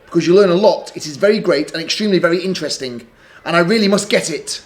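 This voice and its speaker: A man voicing an excitable, insistent little girl in a storybook reading. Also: natural voice. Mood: eager. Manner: high, eager, pleading, quick.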